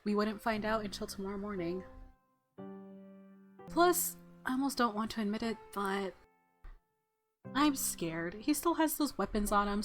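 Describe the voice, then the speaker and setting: Woman, medium pitch, let's play narration, casual playful asides, soft background music. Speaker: let's play host reading game text.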